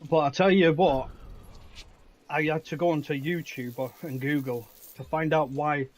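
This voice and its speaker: A man's voice: on the high side, fairly even intonation, normal speed. A man chatting casually with a fellow player while gaming, talking in an emphatic, chatty way.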